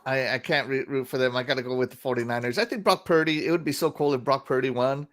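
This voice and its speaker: A man hosting a casual gaming podcast, upbeat and quick to laugh, with lots of fillers as he reads out the chat. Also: mid-range voice, fairly even intonation, a bit bright. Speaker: podcast host reading chat.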